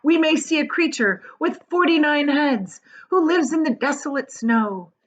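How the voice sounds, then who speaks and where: A woman voicing a boisterous, excitable male centipede from a storybook, exclaiming theatrically. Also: sits high, unhurried, slight background noise, voice pushed up. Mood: gleeful. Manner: exclaiming, theatrical, reciting nonsense verse. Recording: dull, lo-fi sound.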